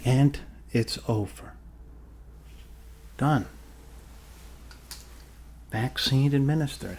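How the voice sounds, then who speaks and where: A man's voice: mid-range voice, a little mellow, a little hiss or hum, close to an everyday voice. A man playing a friendly doctor in an ASMR roleplay, reassuring his patient in hesitant, rambling talk with joking asides. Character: friendly rambling male doctor treating a zombie bite. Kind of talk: ASMR roleplay, improvised soft bedside talk.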